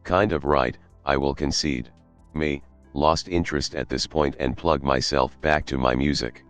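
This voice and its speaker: A man narrating a Reddit story in a steady, even read. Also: rumbling low, measured pace. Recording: faint background music.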